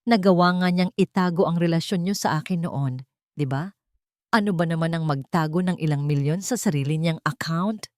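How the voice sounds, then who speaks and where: Woman doing a scorned filipino wife out for revenge, cold pointed lines, lowish voice, dry audio drama. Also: normal speed, a little mellow, dry recording, lightly acted. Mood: insinuating. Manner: pointed, insinuating, emphatic. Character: vengeful wronged wife, cold and calculating.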